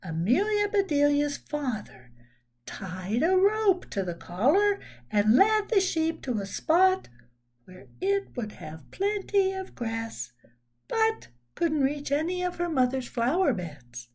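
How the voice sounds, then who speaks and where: A woman in her sixties reads to children in a high, very animated voice. She takes her time and explains things simply and expressively. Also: takes it slowly. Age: 60s. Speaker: children's story reader. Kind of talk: read-aloud storytelling with asides to children. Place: small room at home.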